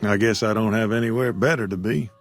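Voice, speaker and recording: A man's voice: fairly low pitch, fairly even intonation, fairly quick, faint music behind, natural voice. A man voicing a weary old frontier shopkeeper who talks in a drawl. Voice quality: gravelly drawl. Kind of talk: video game dialogue.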